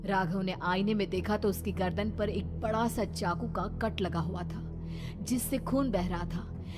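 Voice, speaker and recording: A woman's voice: medium pitch, unhurried, over music. A woman giving dramatic, scripted narration of a horror story.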